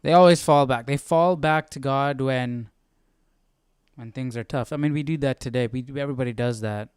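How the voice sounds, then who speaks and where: A man's voice: medium pitch, moderate pace, smooth, no room sound, studio quality. A man hosting a Bible study livestream with casual, conversational commentary, repeating phrases for emphasis.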